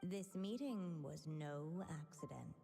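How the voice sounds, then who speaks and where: Game storybook narrator, unhurried, soft dark tone, measured, whimsical, reverberant, light music. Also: female voice, low voice, lively intonation, exaggerated voice. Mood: measured, mysterious. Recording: long reverb, music bed.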